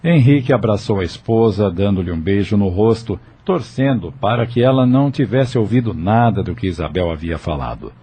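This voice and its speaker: Low, mellow, expressive Brazilian male narrator. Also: normal speed, hardly any pauses, slightly rough. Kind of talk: scripted dramatic story narration. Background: soft music.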